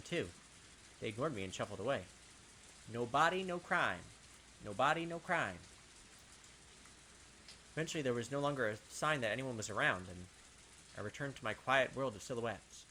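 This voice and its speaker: A man's voice: medium pitch, sharp tone, rough recording. A man narrating a visual novel as a distraught, obsessive young killer who doubts his sanity, brooding and repeating himself insistently. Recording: game noise behind the voice.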